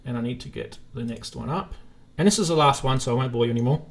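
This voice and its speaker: A man's voice: medium pitch, fast, vocal fry. A man hosting a live stream in a casual, informal chat, thinking aloud as he goes.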